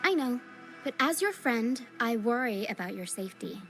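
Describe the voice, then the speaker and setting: Video game role: earnest young female guide, caring yet solemn, slightly high voice, measured lines over music. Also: sing-song. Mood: gentle, caring. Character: caring young woman guiding through a ghostly mist, solemn and gentle. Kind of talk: scripted video game acting.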